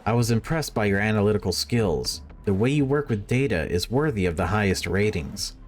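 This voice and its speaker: Man putting on a stern, preachy low-voiced zealot official. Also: animated, a little fast, soft background music, close to an everyday voice. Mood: cold condescension. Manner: sermonizing, condescending, formal. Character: stern male official preaching machine worship, condescending zealot. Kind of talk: dramatic visual novel read-aloud.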